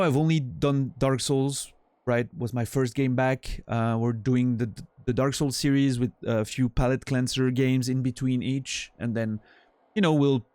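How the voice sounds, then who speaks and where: A man's voice: medium pitch, a little mellow, no echo. A man around forty from French-speaking Switzerland, with a Swiss French accent, chatting loosely with his stream chat as he rambles and trails off. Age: 40s. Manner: rambling, self-deprecating, trails off with fillers.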